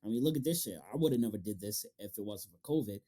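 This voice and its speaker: A man's voice: fairly low pitch, lively intonation, fast, mellow. A man hosting a casual interview, speaking in an informal, slangy way. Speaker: interviewer, show host.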